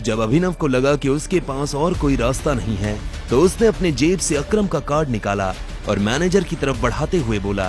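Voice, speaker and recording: A man's voice: mid-range voice, animated, normal speed, over music. A man narrating a scripted Hindi audio drama as a dramatic storyteller.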